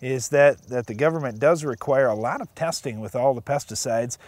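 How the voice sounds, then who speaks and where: A man's voice: medium pitch, a little fast, a little mellow, dry recording. A middle-aged man co-hosting a farm show outdoors in a field, explaining in a plainspoken way on a lapel mic.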